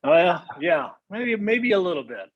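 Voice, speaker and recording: Man, somewhat high pitch, husky, explaining with restarts, video call. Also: very animated, a little slow, highs cut off. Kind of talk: casual video call chat.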